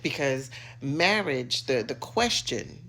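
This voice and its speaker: A middle-aged American woman giving an opinionated rant to camera, emphatic, sassy and theatrical, with dramatic pauses and drawn-out words. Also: a bass voice, lively intonation, pushed and bright. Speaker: social media commentator.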